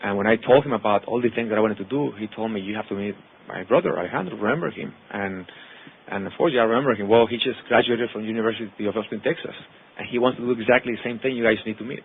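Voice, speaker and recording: Mexican accent, man, thirties, earnest testimony, husky voice, phone-like audio. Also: fairly low pitch, fast talker. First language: Spanish. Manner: earnest, lists words in long runs. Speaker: actor and producer speaking to an audience. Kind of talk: personal testimony speech. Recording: tinny phone-like sound.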